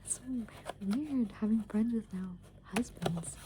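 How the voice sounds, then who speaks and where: A woman in her twenties whispering casual, meandering ASMR thoughts in a hushed, unvoiced voice. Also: mid-range voice, animated, a little slow. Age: 20s. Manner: casual, meandering, thinking aloud. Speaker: ASMR creator. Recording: clearly noisy background hiss and ambience.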